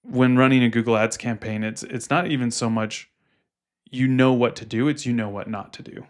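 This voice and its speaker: Man giving practical advice, mid-pitched. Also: fairly even intonation, normal speed. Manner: explanatory, gives practical advice. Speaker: podcast co-host and Google Ads specialist. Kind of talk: casual advisory podcast talk.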